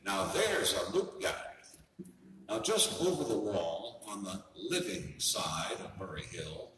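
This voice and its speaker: A man performs a wry private detective narrating a noir radio parody. His delivery is deadpan and hard-boiled, with a wide swing in pitch. He sounds distant, in a heavily echoing hall. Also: slow, heavily acted. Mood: wry, brooding. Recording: noisy echoey hall from far off.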